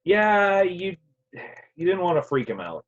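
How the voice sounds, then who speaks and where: Male actor as grieving grown son from the future, stage table read, halting, expressive pitch swings, lo-fi video-call sound. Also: heavily acted. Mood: earnest. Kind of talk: virtual table read of a play. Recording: dull, lo-fi online call audio.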